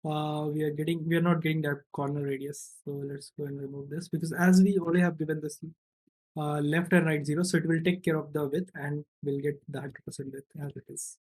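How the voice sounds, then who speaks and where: A man's voice: a little high, fairly even intonation, fairly quick, a little mellow, poor audio. A man narrating an informal step-by-step screencast as a programming instructor, often checking in with "right" or "okay".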